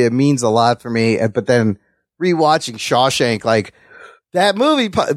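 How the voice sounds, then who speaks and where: Middle aged dude cohosting a movie podcast, voice a bit high, emphatic, casual, no room sound. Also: male voice, no echo, clean studio sound. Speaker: podcast co-host discussing films. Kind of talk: casual opinionated film chat.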